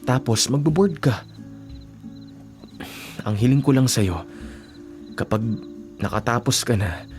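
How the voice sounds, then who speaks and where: A man playing a devoted young working-student boyfriend in a Filipino radio drama, speaking gently, earnestly and reassuringly. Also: mid-range voice, expressive, slow, faint music behind. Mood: earnest, determined. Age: young adult.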